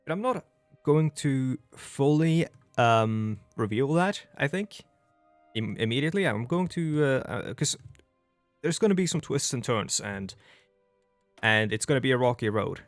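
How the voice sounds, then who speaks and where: A young Norwegian man talks through a strategy game in English with a Norwegian accent, chatty and meandering, often breaking off to start a sentence again. His voice sits at an ordinary middle pitch. Soft music plays faintly under him. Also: lively intonation, measured pace, no room sound. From Norway. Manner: chatty and rambling, with frequent restarts. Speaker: let's play host. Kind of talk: casual gameplay commentary. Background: faint background music.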